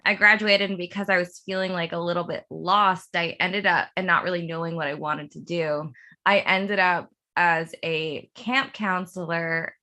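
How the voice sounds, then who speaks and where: A woman in her thirties, originally from the Philadelphia suburbs, talks with a bright, sharp-edged tone that sits a bit low. She is a television writer answering an interviewer's question in a loose, rambling way and laughing at her own tangents. Her delivery is casual and chatty. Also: steady tone, moderate pace. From suburb of Philadelphia, USA. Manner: meandering storyteller who laughs at herself. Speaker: TV writer, guest.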